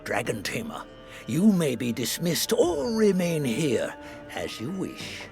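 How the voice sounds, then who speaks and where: A man playing a composed, formal figure of authority in a fantasy video game, measured and authoritative. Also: on the high side, wildly swooping pitch, creaky, a little mellow, full character voice. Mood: composed, grave. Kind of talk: video game character acting. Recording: music behind the voice.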